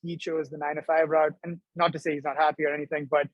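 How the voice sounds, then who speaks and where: A young man from Dubai, a startup co-founder, talking quickly and animatedly as the guest in a video-call interview. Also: fairly high pitch, no echo, highs cut off. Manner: quick, animated, laughs between thoughts. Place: indoor room.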